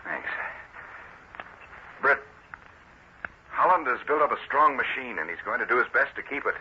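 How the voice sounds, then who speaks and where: An actor playing an earnest, troubled politician in an old-time radio drama, speaking formally. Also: male voice, mid-range voice, expressive, normal speed, natural voice. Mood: earnest, worried. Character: earnest, troubled male politician under accusation. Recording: muffled, noisy vintage radio recording.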